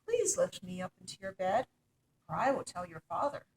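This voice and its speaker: A woman's voice: lowish voice, big pitch swings, normal speed, gravelly, a little mellow, a little hiss or hum, low-quality recording. A woman voicing a big male storybook frog, pleading and insistent, calling out and bargaining. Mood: demanding.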